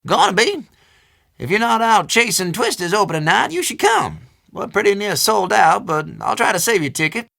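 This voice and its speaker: Game role: chatty old country shopkeeper, high creaky voice, dry recording, teasing and folksy. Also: male voice, big pitch swings, normal speed, bright, forceful tone, no room sound, exaggerated voice. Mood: folksy, helpful. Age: older. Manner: folksy drawl, colloquial, teasing. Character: folksy, chatty old small-town storekeeper, a man. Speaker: small-town general store keeper. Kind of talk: video game character dialogue.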